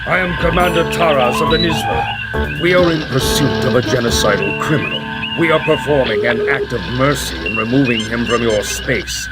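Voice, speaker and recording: A man's voice: mid-range voice, moderate pace, loud music behind the voice, natural voice, poor audio. An actor playing a menacing male alien commander in a sci-fi game, formal, imperious and coldly polite. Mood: smug, threatening. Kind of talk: scripted sci-fi game voice acting.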